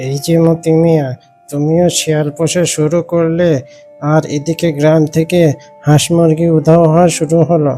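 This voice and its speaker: Man playing a measured, higher-pitched village leader. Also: little pitch movement, dark tone, faint music behind, natural voice. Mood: measured, cautioning. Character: fair-minded, cautious village leader. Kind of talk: cartoon character acting.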